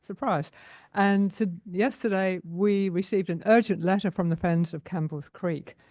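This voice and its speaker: A woman's voice: mid-range voice, a little mellow, no echo. A woman, president of a community group, speaking earnestly at a council meeting's public question time. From Australia. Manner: earnest, unhurried, with many fillers.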